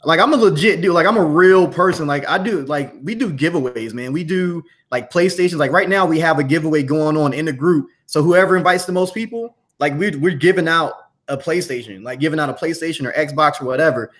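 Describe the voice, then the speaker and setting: A man's voice: a little high, fairly quick, hardly any pauses, fairly bright tone. A man chatting casually and earnestly as a guest promoting his course, full of slang and repetition.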